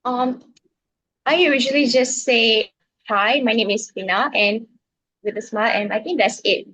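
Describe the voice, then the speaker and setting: A young woman from northern Malaysia with a Malaysian accent, a guest on a casual podcast, answering in a relaxed way with frequent pauses and ums. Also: fairly high pitch, rough recording. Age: young adult.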